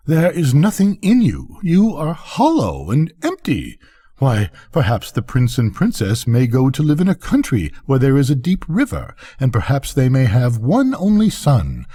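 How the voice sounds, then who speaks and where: Older man playing vain male rocket, storybook, pompous, indignant, wide-swinging pitch, husky. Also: mellow, exaggerated voice. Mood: mournful, scornful.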